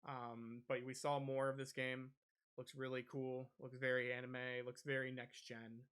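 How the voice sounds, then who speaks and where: A man's voice: medium pitch, flat delivery, moderate pace, a bit bright, clean tone. A man hosting a podcast, talking casually and a little hesitantly through gaming news. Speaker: podcast host.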